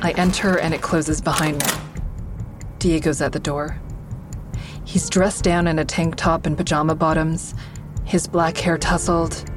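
Audio drama lead: tense first-person woman narrator, low register, music bed underneath. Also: little pitch movement, a little slow, pauses often. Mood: somber, uneasy. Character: tense, watchful woman narrating her own troubled story. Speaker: narrator and lead actor in a fiction podcast.

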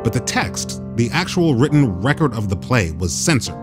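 A man's voice: mid-range voice, sing-song, moderate pace, over music. A man hosting a public radio programme, presenting and putting questions to guests in a broadcast style. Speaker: radio host.

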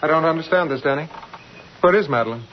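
An actor playing a cold, tense doctor and husband in an old-time radio drama, speaking in a clipped, commanding, intense way. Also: male voice, fairly high pitch, quick pace, a little hiss or hum, lightly acted. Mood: agitated, demanding. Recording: dull vintage radio recording, loud music behind.

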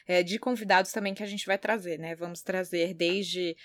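A woman's voice: fairly low pitch, fairly even intonation, moderate pace, no room sound. Brazilian-accented woman, dry close sound. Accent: Brazilian Portuguese. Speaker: podcast co-host, education campaign coordinator. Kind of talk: podcast presenting.

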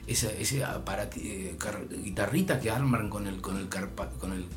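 A man's voice: on the low side, normal speed. Older guy w argentine accent spinning a story, voice goes up n down, faint music behind. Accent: Argentine Spanish. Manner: animated, voice rising and dropping with the story. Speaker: storyteller guest. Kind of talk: casual anecdote.

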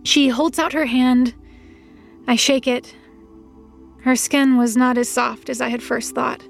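An actress playing an uncertain young woman, narrating in a hesitant, measured way in an audio drama. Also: high voice, fairly even intonation, gravelly, faint music behind.

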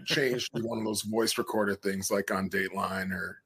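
A man with a deep voice talks sports at an easy, unhurried pace. He comes across as laid-back, dropping "man" and "dude" as he chats over a video call. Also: steady tone, fairly bright tone, husky. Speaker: guest co-host. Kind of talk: casual sports chat.

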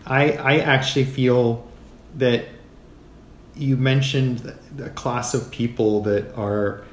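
An American man speaking as an interview guest in a measured, thoughtful way, pausing between short phrases. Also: mid-range voice, fairly even intonation. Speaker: podcast guest, physician. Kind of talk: reflective interview talk. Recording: roomy echo, clear background noise.

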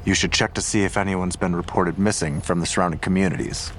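Deep, gravelly skeptical investigator. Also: male voice, fast, slight background noise. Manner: calm, skeptical.

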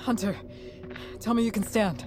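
A woman's voice: medium pitch, normal speed, natural voice. A woman playing a tense, sardonic, bossy fugitive in an audio drama. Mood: frantic commanding. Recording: music and vehicle noise behind.

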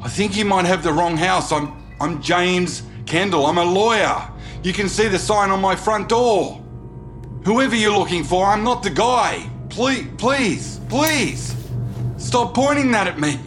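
A rich lawyer scared for his life in an audio drama. His voice sits high and moves slowly, stumbling over words as he rambles and begs, with music under him. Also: a bit bright. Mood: panicked pleading. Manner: stammering, rambling, pleading. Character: frightened wealthy male lawyer pleading with an intruder.

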